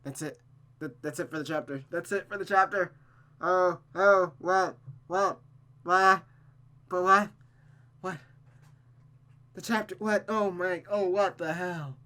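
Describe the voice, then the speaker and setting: A woman's voice: fairly low pitch, slow, pauses often, bright, forceful tone. A woman streaming a visual novel, moving between story narration and casual commentary. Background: music.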